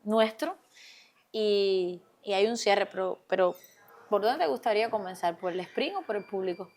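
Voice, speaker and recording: A woman's voice: mid-range voice, moderate pace. A woman leads an interview. She moves between the questions with a playful, bantering energy and reads out what the audience sends in. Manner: lively and playful, reading out questions. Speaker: interviewer host.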